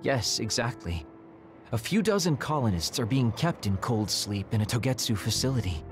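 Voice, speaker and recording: An actor voicing a laid-back but secretly determined young soldier in a video game, casual, candid and dramatic. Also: male voice, medium pitch, animated, normal speed, soft background music, natural voice. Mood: wry, candid. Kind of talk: video game cutscene voice acting.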